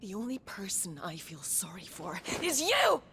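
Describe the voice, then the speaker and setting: A proud, embittered sorceress from a fantasy video game. She speaks at a medium pitch, haughty and theatrical, with a seductive breathiness at times. A faint rumble lies behind the voice. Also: very animated, normal speed, pushed and bright. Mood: bitter, cold. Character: proud, seductive, bitter female sorceress adversary. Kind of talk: cinematic fantasy game acting.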